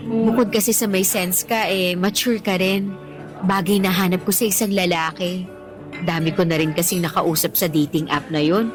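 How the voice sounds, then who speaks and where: A woman's voice: lowish voice, natural voice. A woman acting as a candid, talkative teacher and single mother on a date in a Tagalog radio drama. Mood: chatty, open. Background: background music.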